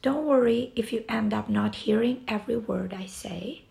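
A woman guiding a yoga nidra relaxation session in a soft, soothing, breathy way, with long pauses between short phrases. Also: fairly high pitch. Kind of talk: guided relaxation meditation.

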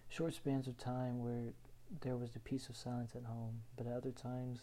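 A young man from Houston, Texas, reading his own written personal story aloud in an earnest, measured way. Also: mid-range voice, completely flat delivery, mellow. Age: young adult.

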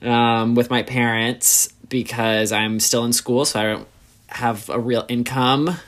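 Casual chat voice: American man, mid-twenties, ordinary mid-range pitch, playful, interjects often. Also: little pitch movement, unhurried, a bit bright. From United States.

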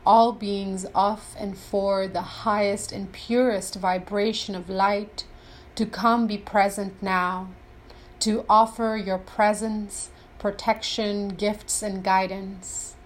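A woman leading a scripted guided meditation in a deliberate, reverent, instructional way. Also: medium pitch, little pitch movement, rough recording.

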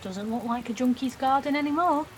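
A woman's voice: sits high, fairly quick, a little hiss or hum, close to an everyday voice. An actress playing a defiant, cheeky nineteen-year-old working-class woman in a naturalistic audio drama, blunt, teasing and slangy. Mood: chatty, needling. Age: young adult.

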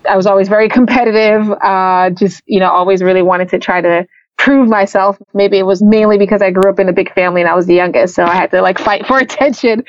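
A woman's voice: medium pitch, fast talker. A young woman from Liberia, a festival founder, talking casually in an interview with lots of "like" and "you know". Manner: relaxed, chatty, uses "like" and "you know". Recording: slightly dull lo-fi sound with faint music.

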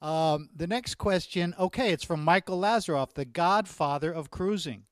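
A man hosting a video call who reads out fan questions, loud and excitable, with many stumbling restarts. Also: on the high side, normal speed, dry recording, studio quality. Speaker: host reading fan questions. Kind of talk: casual video-call chat.

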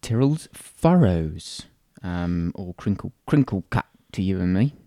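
A man's voice: low-pitched, animated, measured pace, warm tone, dry recording, clean studio sound. A British man co-hosting a casual show, bantering in a jokey, rambling, self-deprecating way. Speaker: co-host of a chat show about beer and snacks.

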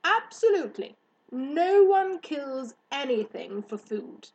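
A woman's voice: high voice, big pitch swings, a little mellow, muffled recording, pitched above the performer's own voice, exaggerated voice. A woman voicing a haughty magical alien hare in a storybook, with a pompous, emphatic way of talking. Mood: lofty, disapproving. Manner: pompous, drawn-out emphatic delivery.

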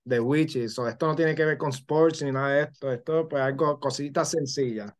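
Halting male podcast host. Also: a little high. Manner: halting, code-switches between English and Spanish. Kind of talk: casual interview talk.

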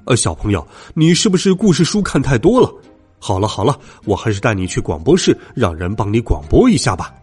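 A man voicing a gruff, blustering middle-aged zookeeper in a children's storybook narration, impatient but kindly. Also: mid-range voice, sing-song, fairly quick, soft background music, voice pushed down. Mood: dismissive. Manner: loud, blustering, hesitant grunts.

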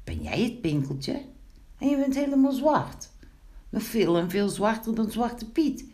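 A woman's voice: fairly high pitch, sing-song, measured pace, a little mellow, pitched above the performer's own voice. A woman voicing a playful, teasing animal with a bushy tail in a children's story, full of exclamations. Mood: astonished.

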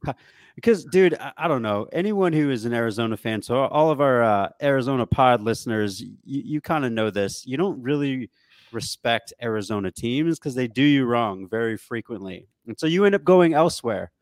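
A man's voice: medium pitch, expressive, normal speed, no echo. Casual male podcaster, dry close sound. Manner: slangy, mildly profane. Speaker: podcast co-host. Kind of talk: casual sports chat.

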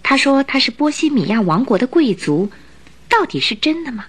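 A woman narrating a radio drama in an expressive, scripted storytelling style. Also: sits high, normal speed, breathy edge.

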